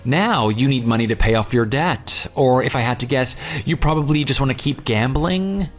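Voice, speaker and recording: An actor playing a vengeful jilted ex-fiancé in an audio drama, with a sarcastic, taunting, smug delivery. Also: male voice, medium pitch, animated, moderate pace, faint music behind, lightly acted. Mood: accusing. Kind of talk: dramatized phone dialogue.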